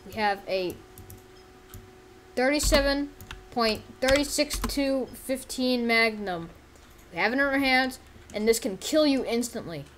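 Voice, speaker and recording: An exasperated, bossy agent acted by a female performer in a homemade story. The orders come insistently in a high voice, recorded with some faint noise. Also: measured pace, pauses often, natural voice. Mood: commanding. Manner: insistent, commanding.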